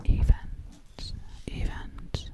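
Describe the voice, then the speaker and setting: A woman playing a sassy, sinister witch in an ASMR roleplay, whispering breathily close to the microphone and lingering on repeated words. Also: extremely slow. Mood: proud, coy. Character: sassy, vain, sinister witch who hunts people.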